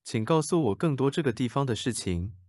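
A man reads short translated sentences for a language lesson, one after another. He moves quickly and keeps his delivery even. The recording is dry and close. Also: medium pitch, no echo. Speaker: translation reader.

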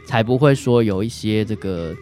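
A man with a Taiwanese accent and a fairly low voice answers as a medical guest on a radio show. He explains conversationally, closing phrases with little tag particles, over faint guitar music. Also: moderate pace, darkish tone. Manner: frequent short tag particles at phrase ends. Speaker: doctor answering questions, guest.

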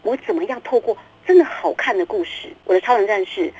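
A woman's voice: mid-range voice, fairly quick, darkish tone, husky, poor audio. A middle-aged woman with a Taiwanese Mandarin accent, explaining things emphatically as the guest author in a radio interview, repeating words and acting out questions. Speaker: guest, children's book author.

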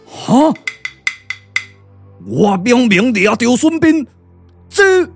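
A proud old immortal sage from a puppet radio play, with a Taiwanese accent. His speech swoops dramatically and stretches out in slow, boastful declamation, over music. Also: very high voice, big pitch swings, no room sound, heavily acted. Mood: baffled, angry. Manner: theatrical, boastful, drawn-out declamation.

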